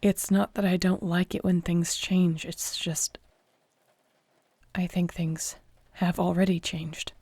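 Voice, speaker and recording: A woman acting a guarded, flustered but tender mentor in a modern fantasy audio roleplay, speaking haltingly and often near a whisper. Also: fairly low pitch, flat delivery, darkish tone, husky, no echo, full character voice. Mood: earnest, vulnerable. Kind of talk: audio roleplay acting, intimate one-sided dialogue.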